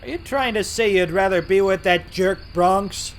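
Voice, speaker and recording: Man acting a high-voiced cocky switcher locomotive. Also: moderate pace, a little hiss or hum. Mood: indignant, boastful. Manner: brash, indignant, boastful. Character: boastful, grouchy male switcher locomotive, cocky and easily offended. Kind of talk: fan audio drama acting.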